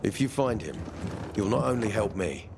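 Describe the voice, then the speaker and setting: An actor playing a stern, commanding military peacekeeper officer in a video game, authoritative and sardonic. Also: male voice, fairly low pitch, expressive, moderate pace, gravelly, noisy background. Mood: grave, urgent. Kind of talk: video game cutscene acting. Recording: game music behind the voice.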